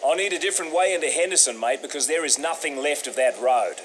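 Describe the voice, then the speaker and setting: A blunt, practical military captain acted by a man in a video game. His pitch moves around a lot as he talks in slangy, stubborn terms, with clear outdoor noise behind him. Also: lively intonation, fast, close to an everyday voice. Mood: businesslike. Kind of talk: video game cutscene acting.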